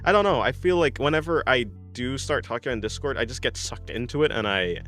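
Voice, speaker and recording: A man's voice: mid-range voice, expressive, brisk, fairly bright tone, faint music behind. A young man streaming while he draws, reading chat aloud and answering in a rambling, casual way full of fillers and restarts. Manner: rambling, many restarts and fillers, laughs often.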